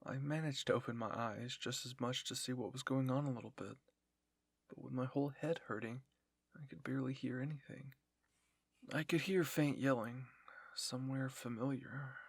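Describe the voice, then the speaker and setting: A man reads a dramatic fan-fiction story as narrator. His medium-pitched voice is gravelly and husky, recorded very dry. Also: fairly even intonation, normal speed, no room sound.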